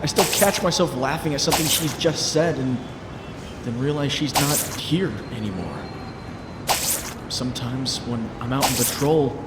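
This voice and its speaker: A man's voice: medium pitch, close to an everyday voice. An actor playing a brooding, wisecracking young male superhero in a dramatic comic dub, voicing introspective monologue with wry quips. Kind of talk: dramatic comic-dub narration and character acting. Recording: noisy, echoey, ambience behind.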